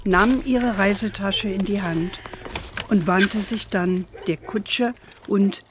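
An actress playing an older, indulgent grandmother telling stories in a children's radio play, with a playful, calm manner. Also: medium pitch, background noise, close to an everyday voice. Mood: gentle storytelling. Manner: unhurried, sprinkles English endearments.

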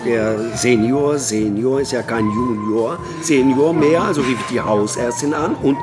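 A man's voice: medium pitch, measured pace, music in the background, close to an everyday voice. An actor in a radio play playing a middle-aged man who tells things in an ornate, mock-pompous, hesitant way. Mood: mock-dramatic storytelling. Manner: ornate mock-pompous phrasing with stammered starts. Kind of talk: naturalistic radio play dialogue, anecdote telling.

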